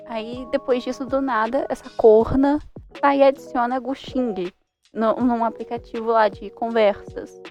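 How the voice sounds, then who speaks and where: A young woman with a Brazilian accent giving a casual, informal and expressive review commentary. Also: on the high side, a little slow. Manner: informal, expressive, exclaiming in mock disbelief. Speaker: manhwa reviewer. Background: faint music.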